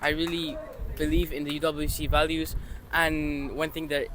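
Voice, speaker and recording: A man's voice: a little high, level tone, moderate pace, a bit bright. A young man from Spain answering interview questions earnestly, with some restarts. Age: young adult. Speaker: student interviewee.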